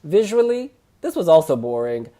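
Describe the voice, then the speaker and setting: A man hosting a casual review chat, sharing opinions in an expressive way with emphatic phrasing. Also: fairly high pitch, moderate pace. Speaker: host reviewing song contest entries.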